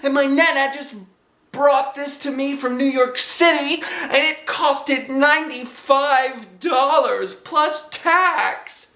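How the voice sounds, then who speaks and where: A man's voice: slow, pushed and bright, reverberant, heavily acted. A man voicing a fussy, dramatic little girl, whining and wailing in a children's storybook. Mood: upset, wailing. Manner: high-pitched whining and wailing.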